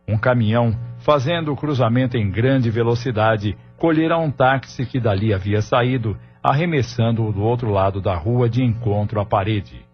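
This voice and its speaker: A man reading scripted narration for an audio drama as its narrator. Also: mid-range voice. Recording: muffled studio narration over music.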